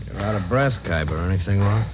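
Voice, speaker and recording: Man acting very low, curt American crook. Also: lively intonation, a little fast, music in the background, close to an everyday voice. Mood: curt, watchful. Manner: curt, instructing. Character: curt, controlling male drug-deal go-between. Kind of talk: 1950s radio crime drama acting. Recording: dull, noisy vintage radio recording.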